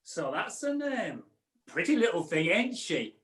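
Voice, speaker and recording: A man's voice: high-pitched, sing-song, measured pace, fairly bright tone, full character voice. A man playing a brash, cheeky female potion peddler in an amateur pantomime, with theatrical sales patter. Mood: cheeky, bargaining. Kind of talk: amateur pantomime acting with comic songs. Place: at home, indoors. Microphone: video call. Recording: roomy home video-call recording.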